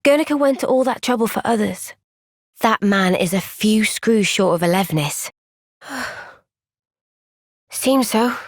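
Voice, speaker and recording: An actress voicing a gentle, caring young female soldier in a game cutscene, speaking softly and earnestly. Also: medium pitch, lively intonation, slow pace, long pauses, no room sound, studio quality. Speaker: game character. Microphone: close studio mic.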